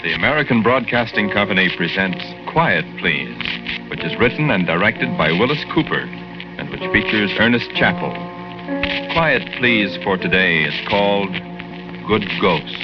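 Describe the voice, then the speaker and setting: A man announcing a program in a measured, dramatic 1940s radio style. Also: fairly low pitch, expressive. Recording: muffled 1940s radio broadcast with music.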